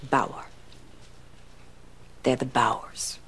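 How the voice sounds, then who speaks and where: An actress playing an intent radio serial writer who dictates stories dramatically. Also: female voice, very slow, a bit bright, slight background noise. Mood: intent, storytelling.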